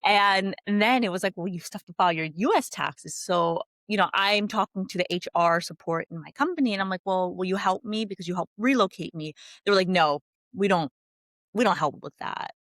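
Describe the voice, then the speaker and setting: A woman from California, a company founder, chatting in a video-call interview and telling her story in a lively, animated way. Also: medium pitch, brisk, no echo, studio quality.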